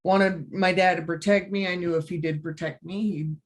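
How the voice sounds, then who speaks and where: Low-voiced woman telling her story. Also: fairly even intonation. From Utah. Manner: earnest, emotional, halting with many ums. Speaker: guest. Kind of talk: personal story.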